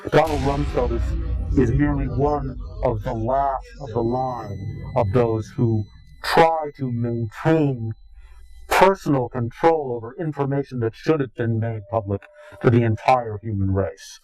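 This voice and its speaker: A man giving interview testimony in a grave, deliberate, halting and portentous way. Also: mid-range voice, a little mellow, poor audio. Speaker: interviewee, claimed insider. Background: music.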